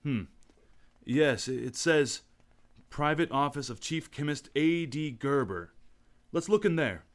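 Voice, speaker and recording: A calm gentleman sleuth. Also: fairly high pitch. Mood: determined. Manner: measured, commanding, determined. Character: Lamont Cranston, calm crime-fighting gentleman sleuth. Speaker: radio actor. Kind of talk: old-time radio drama acting.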